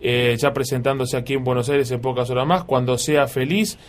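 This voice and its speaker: A man's voice: mid-range voice, fairly even intonation, brisk. A man with an Argentine accent hosting a radio interview, asking long, rambling questions full of restarts. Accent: Argentine Spanish. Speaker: radio host.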